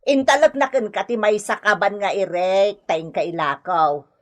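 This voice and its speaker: A woman's voice: medium pitch, measured pace, close to an everyday voice. An actress playing a reproachful older mother-in-law in a comedy radio drama, grumbling and admonishing.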